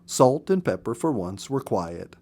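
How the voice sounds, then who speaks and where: A man with a medium-pitched voice reads a book aloud in an audiobook style. He takes his time, shaping phrases dramatically and leaving pauses between them. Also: very animated, a little mellow. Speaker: storyteller reading a book aloud. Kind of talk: expressive narrated audiobook reading.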